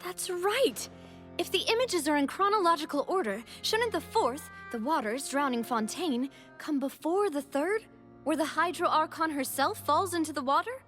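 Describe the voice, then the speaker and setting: A woman's voice: high voice, animated, moderate pace, fairly bright tone, soft background music. An actress playing a thoughtful young woman in video game dialogue, reasoning aloud in an earnest way.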